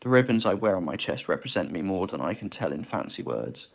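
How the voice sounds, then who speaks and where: A man's voice: low-pitched, animated, brisk, pitched below the performer's own voice. A narrator voicing an earnest, aggrieved young English bushranger man in an audiobook story. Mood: grave. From England. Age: 20s.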